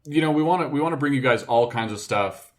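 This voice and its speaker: A man's voice: medium pitch, lively intonation, quick pace. A man co-hosting a casual group podcast, chatting informally with slang and swearing. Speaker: podcast co-host.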